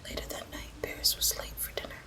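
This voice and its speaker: A woman tells a creepy tale in a breathy whisper, in the manner of an ASMR storyteller. Her delivery is hushed and deliberate, with almost no voiced sound at all.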